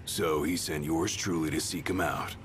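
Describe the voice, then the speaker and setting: A man voicing a big, tough, laid-back young bodyguard in a video game, gruff, casual and blunt. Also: rumbling low, fairly even intonation, moderate pace, a bit bright, a little hiss or hum. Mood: gruff, easygoing.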